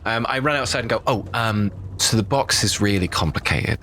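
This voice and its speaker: A man's voice: lowish voice, moderate pace, fairly bright tone. A man playing a tabletop role-playing game, improvising in casual conversation. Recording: clear background noise, room ambience.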